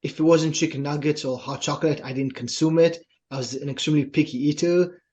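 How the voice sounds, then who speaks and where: A man's voice: fairly high pitch, fairly even intonation, fairly quick, darkish tone. A young man from Israel with an Israeli accent, a fitness trainer telling his story earnestly as a podcast guest. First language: Hebrew. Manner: earnest storytelling, often adds "right?". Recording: dull, lo-fi sound.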